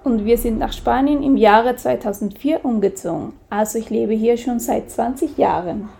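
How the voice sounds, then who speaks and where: A woman's voice: fairly high pitch, moderate pace, gravelly, warm tone. A woman from Switzerland with a Swiss German accent, a guest in a casual interview, talking hesitantly with many fillers and laughing often.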